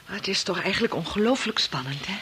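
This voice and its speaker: An actress playing an anxious wife in a radio play, with a husky voice and a hesitant, fretful way of talking. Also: female voice, mid-range voice, expressive, fast talker, noisy background, natural voice. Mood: anxious, questioning. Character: anxious wife recounting a frightening dream, fretful.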